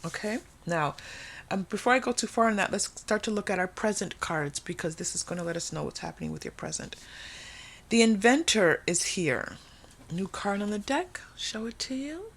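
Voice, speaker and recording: A woman's voice: mid-range voice, lively intonation, moderate pace, fairly bright tone, husky. Casual, conversational woman reading tarot. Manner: conversational, thinking aloud, repeating phrases for emphasis. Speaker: tarot reader and host.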